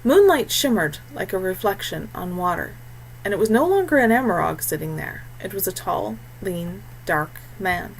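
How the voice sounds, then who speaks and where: A woman narrates a fantasy audiobook from a script in a mid-pitched voice. There is noticeable noise in the recording. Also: sing-song, moderate pace, gravelly, rough recording.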